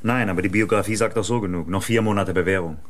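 Quick, low-voiced male actor as hasty detective, insistent, radio crime drama. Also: close to an everyday voice.

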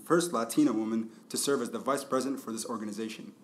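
A man's voice: medium pitch, fast talker, slight background noise. A man hosting a podcast interview, upbeat and friendly, laughing often as he introduces his guest. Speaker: podcast host.

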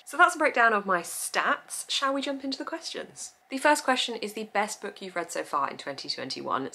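Chatty young woman with gravelly edges. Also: on the low side, sharp tone. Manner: chatty and upbeat, laughs often. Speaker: book vlogger. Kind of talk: casual chat to camera. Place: home room with full bookshelves.

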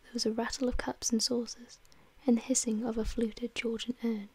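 Woman, breathy whisper, story narration, flat intonation, soft dark tone. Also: on the high side, moderate pace, dry recording. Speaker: audiobook narrator. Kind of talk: whispered literary story reading.

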